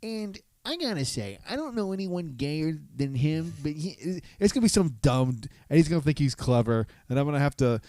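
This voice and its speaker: A man's voice: fairly high pitch, sing-song, fairly quick, few pauses, dark tone, dry recording, clean studio sound. A man co-hosting a podcast in casual banter, with a crude, irreverent, joking way of talking. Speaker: podcast co-host.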